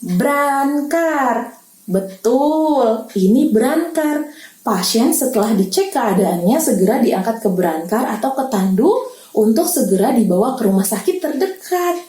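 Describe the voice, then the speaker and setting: A woman reads a picture book aloud for small children, gentle and teacherly, pausing to quiz her young listener. Her voice is high but mellow, and she reads slowly. The room around her adds a clear echo. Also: expressive. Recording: roomy echo.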